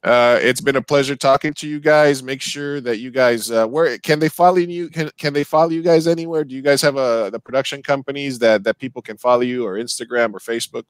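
A man's voice: mid-range voice, steady tone, normal speed, hardly any pauses, smooth, dry recording. A man in his thirties, from Colombia and Uruguay, hosting a festival Q&A over a headset mic on a video call, often repeating words as he searches for phrasing. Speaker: festival Q&A host. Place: home room with bookshelves.

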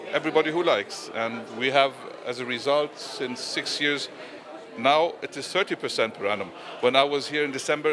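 A middle-aged man explaining his investment fund in an interview at a busy event, speaking into a handheld mic. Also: mid-range voice. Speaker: fund manager. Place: busy indoor event, press backdrop. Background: crowd chatter.

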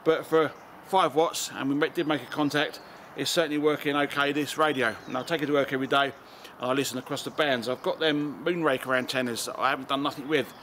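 A man's voice: medium pitch, steady tone, quick pace, fairly bright tone. A man in his forties with an English accent, presenting casually to camera as an amateur radio vlogger outdoors. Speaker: amateur radio video host. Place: outdoors in a garden and open high spot. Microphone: camera or phone at about arm's length. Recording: outdoor recording with background ambient noise.

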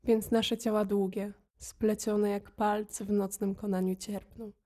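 Female reciter for audio drama: mellow tone, little pitch movement, solemn restraint. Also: flat delivery, unhurried. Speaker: poetry reciter.

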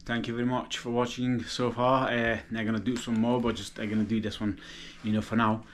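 Guy vlogging his bike trip, flat easygoing voice, lots of ums. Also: mid-range voice. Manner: plain, unhurried, filler-heavy chat. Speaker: motorcycle vlogger. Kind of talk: casual vlog talk. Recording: loud outdoor road noise.

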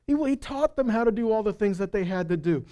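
A man's voice: high-pitched, expressive, fast talker, mellow. A middle-aged man teaching a live sermon in a conversational, emphatic way, speaking into a headset mic. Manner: conversational, checking in with listeners. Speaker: preacher and Bible teacher. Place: indoor stage in a meeting room or hall. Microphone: headset microphone.

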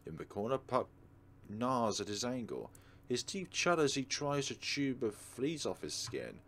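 A man's voice: medium pitch, big pitch swings, unhurried, slight background noise. A man hosting a let's play, reading a visual novel aloud expressively and adding casual commentary. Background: soft game music.

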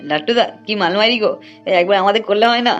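A man playing an excitable, lecherous village man in a comic cartoon, talking colloquially with whiny questions. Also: high voice, racing, highs cut off, soft background music. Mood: whining.

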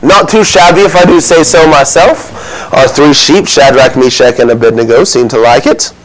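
A man's voice: mid-range voice, normal speed, slight background noise, pitched above the performer's own voice, natural voice, poor audio. A man performing a comic monologue as a flustered, defensive innkeeper from biblical Bethlehem, rambling indignantly through his excuses. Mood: self-satisfied.

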